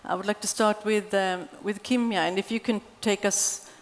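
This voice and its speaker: A woman's voice: medium pitch, fairly even intonation, normal speed. A woman moderating a conference panel, putting questions to the guests. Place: large conference hall stage. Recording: reverberant conference hall.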